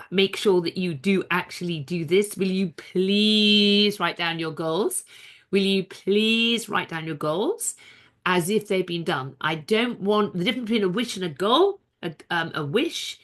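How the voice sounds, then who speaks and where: A woman's voice: lowish voice, fairly bright tone. A woman giving an online seminar in a chatty, rambling way, sounding nasal from a cold. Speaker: seminar coach.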